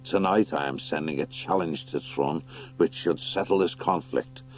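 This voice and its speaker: A man voicing a stern, noble badger warlord with a measured, commanding manner in an audiobook. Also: rumbling low, soft background music. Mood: grave, commanding. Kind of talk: audiobook character acting.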